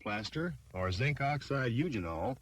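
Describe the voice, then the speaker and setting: A man reading scripted training film narration in a formal, measured, instructional manner. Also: mid-range voice, animated, a bit bright.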